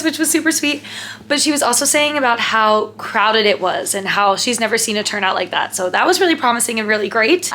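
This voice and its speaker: Bright-voiced chatty young woman vlogger. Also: on the high side, a little hiss or hum. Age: 20s. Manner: chatty, filler-heavy with frequent "like" and "um"; upbeat and friendly. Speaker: lifestyle vlogger. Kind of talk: casual vlog chat to camera.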